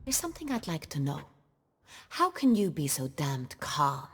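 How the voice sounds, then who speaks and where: A woman's voice: low voice, very animated, normal speed, fairly bright tone, slightly rough, heavily acted. An actress playing an imperious, impatient noblewoman ruler in a video game, speaking in formal, commanding, archaic phrases. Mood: anxious, impatient. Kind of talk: dramatic video game acting.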